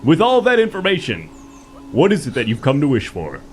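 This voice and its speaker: A man's voice: a little high, huge pitch swings, brisk, faint music behind. A man hosting a live improv comedy game show in a mock-grand announcer manner, often laughing through his lines.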